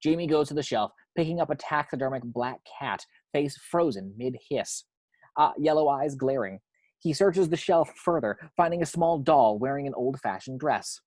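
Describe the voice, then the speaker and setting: Male narrator for a script table read. Measured, descriptive delivery of stage directions, medium pitch, very dry recording. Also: animated, darkish tone, no echo, poor audio. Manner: measured, descriptive reading with occasional stumbles.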